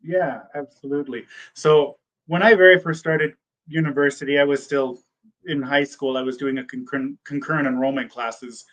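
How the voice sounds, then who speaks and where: A man's voice: medium pitch, steady tone, normal speed, fairly bright tone, poor audio. A man in his thirties, a PA school applicant answering mock interview questions, hesitant and full of ums and restarted words. He is from the United States, with German as his first language. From born in Germany, raised in the United States.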